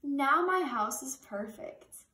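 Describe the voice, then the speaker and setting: A young woman doing a gentle hermit crab. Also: sits high, expressive, slow pace, a little hiss or hum, exaggerated voice. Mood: earnest. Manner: polite, earnest asking. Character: gentle male hermit crab, polite and kindly. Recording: roomy living room from far camera.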